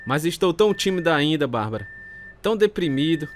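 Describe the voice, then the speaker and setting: A man's voice: a little high, sing-song, a little fast, slight background noise. A man with a Brazilian accent playing a troubled, earnest reformed alcoholic, narrating and acting in a radio drama. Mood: downcast. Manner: earnest, confessional. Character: reformed alcoholic ex-prisoner telling his story, troubled but earnest. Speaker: narrator and lead character.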